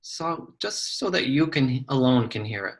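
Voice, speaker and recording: Slow-paced man guiding a meditation. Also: mid-range voice, animated. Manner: gentle, measured instruction with long pauses. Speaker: psychologist leading a guided meditation. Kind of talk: guided meditation.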